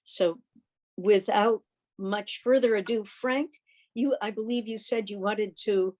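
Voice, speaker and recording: A woman speaks as the chair of a meeting. Her pitch is average for a woman. She hands over to others in an informal, conversational style. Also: a little slow, a little mellow. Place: indoors on a video call.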